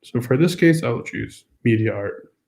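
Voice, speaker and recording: Man, American accent, school staff, casual explanatory walkthrough, mellow voice, echoey room. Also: medium pitch, animated, normal speed, creaky. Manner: casual, explanatory, many fillers. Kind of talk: screen-recorded walkthrough.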